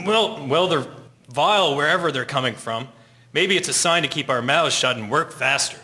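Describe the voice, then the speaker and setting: An actor playing a hardworking, plain-spoken carpenter in an audio drama. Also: male voice, fairly high pitch, normal speed, bright, forceful tone. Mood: disgusted. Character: hardworking male carpenter, proud, stubborn, later sickly. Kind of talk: scripted audio drama acting.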